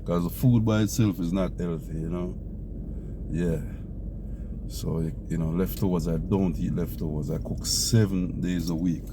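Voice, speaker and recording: A man's voice: sits low, fairly even intonation, normal speed. A man talking casually to his viewers while driving, rambling and repeating himself. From Jamaica. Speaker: vlogger. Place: car in the city. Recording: noisy car recording with traffic.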